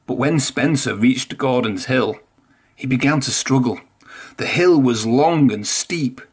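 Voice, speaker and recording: A man narrating a children's story aloud in an expressive read-aloud style. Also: medium pitch, measured pace, breathy edge. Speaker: storybook reader.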